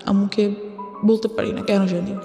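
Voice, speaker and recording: A woman's voice: mid-range voice, level tone, normal speed, warm tone. A woman with a Bangladeshi accent telling her own life story in an interview, mixing English phrases into her speech. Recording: echoey reverberant sound with music behind. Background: music.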